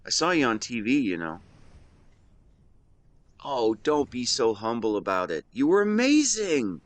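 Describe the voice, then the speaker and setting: A man playing an affectionate, playful young best friend in a romantic audio roleplay, speaking to the listener in a teasing, tender, expressive way. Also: mid-range voice, unhurried. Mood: admiring, earnest.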